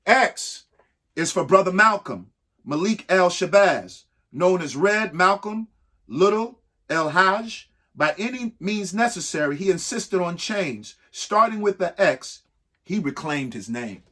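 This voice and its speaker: Animated, unhurried man reading a picture book aloud. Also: high voice. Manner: theatrical, emphatic delivery with dramatic pauses. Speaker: children's book reader. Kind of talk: expressive picture-book read-aloud with short asides to young listeners.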